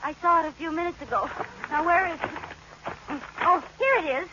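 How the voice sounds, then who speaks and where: An actress playing a scatterbrained, wisecracking wife in an old radio sitcom, with theatrical wailing and dry quips. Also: female voice, very high-pitched, fairly quick. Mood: deadpan. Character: scatterbrained, wisecracking wife, comically dramatic and tearful. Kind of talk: 1940s-50s radio sitcom acting. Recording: noisy, muffled old-time radio recording.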